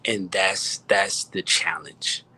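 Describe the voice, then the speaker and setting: Low-voiced American man, halting casual talk. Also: steady tone, bright, forceful tone, slight background noise, low-quality recording. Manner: halting, repeats words while emphasizing points. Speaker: music producer, guest.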